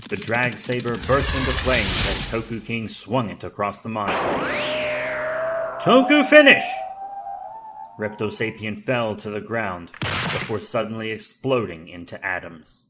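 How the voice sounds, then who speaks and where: A man's voice: on the low side, moderate pace, over loud music. A man narrating an action audio drama in a dramatic, scripted storytelling style.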